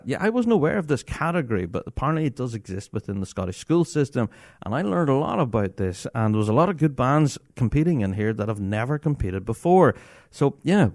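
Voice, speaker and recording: A man hosts his own podcast, talking enthusiastically and breaking into laughter often. His voice moves around a lot in pitch and stays very animated. The recording is dry and close. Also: dry recording. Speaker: podcast host. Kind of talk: casual solo podcast talk.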